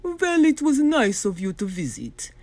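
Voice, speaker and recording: A woman voicing a sly, smug male villain doctor in a children's storybook, with an oily, drawn-out manner. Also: high voice, huge pitch swings, darkish tone, voice pushed up, full character voice. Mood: falsely polite. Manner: oily, drawn-out delivery, sputtering when flustered.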